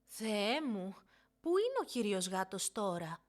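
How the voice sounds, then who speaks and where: A surprised mother of young children in a storybook, read by a woman. Her voice is mid-pitched and expressive. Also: lightly acted. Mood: alarmed.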